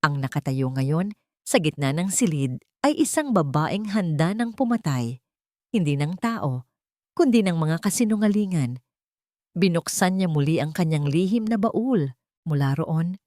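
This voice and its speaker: A woman narrates a dramatic story in a lowish, very lively voice. She sets out each short phrase deliberately. The sound is dry and close. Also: dry recording.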